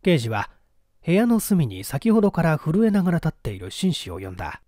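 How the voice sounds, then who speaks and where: A man's voice: on the high side, huge pitch swings, a little fast, dark tone, dry recording, clean studio sound. A man narrating a mystery story in a dramatic, scripted reading style.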